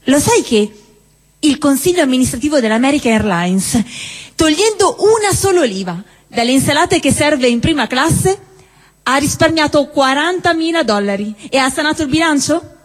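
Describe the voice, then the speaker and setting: A woman acting in a live stage dialogue, chatty and teasing, sometimes bursting into excited shrieks. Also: high voice, normal speed, long reverb tail. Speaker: stage actor. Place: theatre stage.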